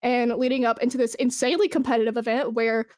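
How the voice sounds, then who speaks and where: A woman's voice: on the high side, steady tone, fast, a little mellow, no room sound, studio quality. A woman speaking as a podcast guest in a casual, informal interview, effusive and emphatic about their work as an esports brand manager.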